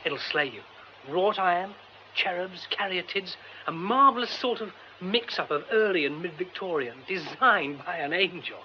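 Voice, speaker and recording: An actor playing an excitable, romantic young British theatre man in a radio drama, exclamatory and effusive, often breaking off mid-thought. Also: high-pitched, normal speed. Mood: rapturous. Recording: dull, faintly noisy radio recording.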